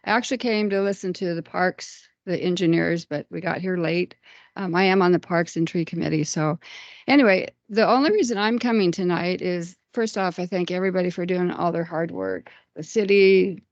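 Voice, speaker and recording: A woman resident speaking in a friendly, slightly halting way at a council meeting's public comment. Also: lowish voice, no echo. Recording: dull lo-fi council chamber mic.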